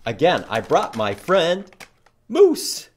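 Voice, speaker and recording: A man's voice: on the high side, wildly swooping pitch, slow, breathy edge. A man hosting a children's library storytime, reading and teaching letters in simple, repeated phrases and prompting young listeners to join in.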